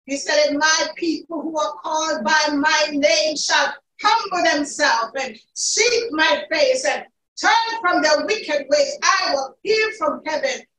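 A woman leading a fervent spoken prayer at a church pulpit, impassioned and rising into shouts of praise. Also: high-pitched, slow. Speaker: prayer leader. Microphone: pulpit mic, recorded by far camera. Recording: echoey church from far off.

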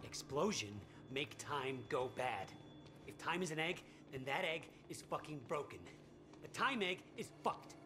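A man's voice: a little high, expressive, measured pace, background noise. An actor playing an anxious, exasperated scientist in a video game, agitated and sardonic as he explains technical things.

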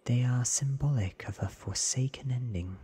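A man as a breathy, knowing vampire woman. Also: medium pitch, voice pushed up. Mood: knowing, blunt. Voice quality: breathy, whispery. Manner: hushed, blunt, slow. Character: weary, knowing, ancient female vampire.

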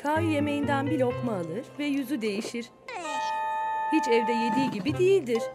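A woman's voice: sits high, expressive, brisk, over loud music. A woman reading scripted narration for a children's cartoon story.

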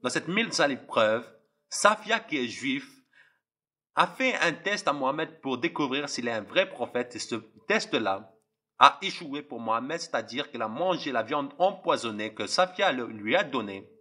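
Impassioned young man preaching, husky and tense. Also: mid-range voice, expressive, measured pace, pushed and bright. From Algeria. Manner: impassioned, repetitive, addresses listeners directly. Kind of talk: preaching testimony.

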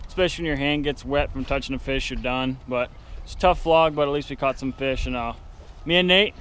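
A man's voice: mid-range voice, a little fast, a bit bright, smooth. A young man talking casually while fishing outdoors, filmed on an action camera. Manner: laid-back, chatty, swears when cold. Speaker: fishing vlogger. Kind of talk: casual vlog chat. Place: outdoors on a windy dock by a canal. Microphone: action camera, held or set nearby. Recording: wind noise on outdoor camera mic.

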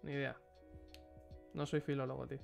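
A man's voice: medium pitch, little pitch movement, a little fast, vocal fry, soft background music. A young man with a Spanish accent from Spain, giving blunt, informal live-stream commentary on a headset and answering chat questions. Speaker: streamer reviewing a player's matches.

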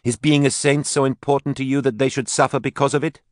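A male narrator puts on a bitter young woman, voice pushed up high and loud, snapping and swearing at her mother. the booth is dead dry with no room sound at all. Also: level tone, fast, no echo, close to an everyday voice. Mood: angry. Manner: sharp, pleading, swearing outbursts. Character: furious grown daughter, young woman, bitter and tearful.

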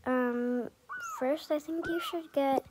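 Girl doing a busy mom packing up in a toy game, high voice, slow and ummy, noisy background. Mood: coaxing. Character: busy mother packing up for a family move. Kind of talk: improvised voiced pretend play with toy-game characters.

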